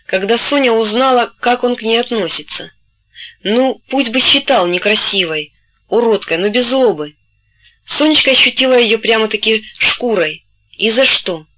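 A woman narrating a novel in a steady storytelling voice, gently taking on the characters' voices when they speak. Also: fairly high pitch, dull audio. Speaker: audiobook narrator. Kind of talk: scripted literary narration.

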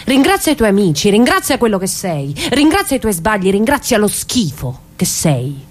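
A woman's voice: medium pitch, sing-song, moderate pace. An actress playing a proud, defiant mother fleeing her husband, in an emphatic theatrical style. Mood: defiant, scornful. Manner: emphatic, repeating words for force. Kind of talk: theatrical radio drama monologue and dialogue.

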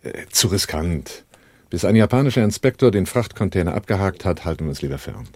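A shrewd arms-dealing businessman in a radio drama. His voice is very low and rough-edged, and he explains things drily and coolly. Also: lively intonation, a little fast, no room sound. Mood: wary. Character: Altmaier, shrewd cool-headed arms-smuggling businessman. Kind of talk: 1980s German radio drama acting.

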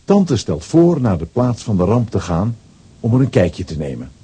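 Male narrator, deep mellow tone, animated intonation, scripted children's storytelling. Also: normal speed, breathy edge. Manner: storytelling, expressive.

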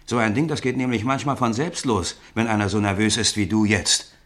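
Ein Schauspieler spielt in einem deutschen Hörspiel einen misstrauischen Mann, der widerwillig eine Waffe verkauft und bedächtig nachbohrt. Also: male voice, on the low side, steady tone, a bit bright, close to an everyday voice. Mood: probing, needling. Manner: probing, deliberate, questioning. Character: wary man reluctantly selling a revolver, probing and needling. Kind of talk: German radio play acting.

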